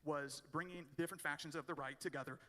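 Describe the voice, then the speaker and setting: An eager man from the audience putting a question to a speaker, quick and a little high-pitched. The long reverb of a big hall hangs around his voice. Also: fairly even intonation, sharp tone. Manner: eager, admiring fan. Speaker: audience member asking a question.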